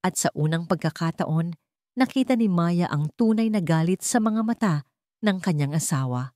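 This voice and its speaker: A woman storyteller reading a scripted drama in deliberate, short dramatic phrases. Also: lowish voice, lively intonation, mellow, no room sound, clean studio sound.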